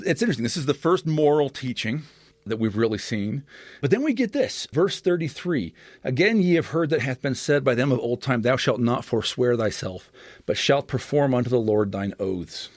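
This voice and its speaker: A man on a podcast as a guest, explaining scripture in an animated, expressive teaching manner with frequent restarts. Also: medium pitch, moderate pace, no echo, studio quality.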